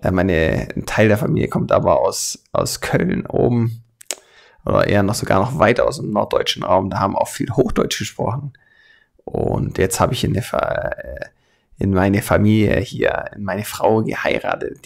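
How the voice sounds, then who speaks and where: A man's voice: lowish voice, normal speed, creaky. A man with a light southern German, Swabian accent, talking casually and colloquially as he hosts a hands-on tutorial. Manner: colloquial, chatty, chuckles often. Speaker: tutorial host, airbrush artist.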